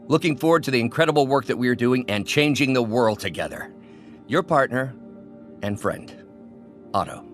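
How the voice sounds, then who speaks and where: A man's voice: mid-range voice, animated, moderate pace, soft background music, lightly acted. A man playing a kindly older scientist mentor in a video game, speaking formally and articulately with earnest care. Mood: warm, earnest.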